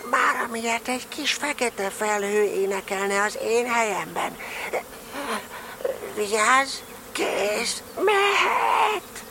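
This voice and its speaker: A woman's voice: on the high side, creaky, noisy background. A woman playing a small, earnest, scheming toy bear in an audio drama, with naive, solemn pronouncements. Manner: solemn, self-important pronouncements. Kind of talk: audio drama storybook acting.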